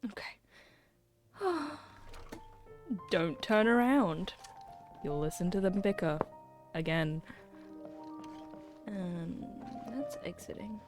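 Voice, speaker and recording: A woman's voice: fairly low pitch, takes it slowly, pauses often. A woman streaming a game, giving casual, reactive live commentary in a giggly way. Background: game music and dialogue.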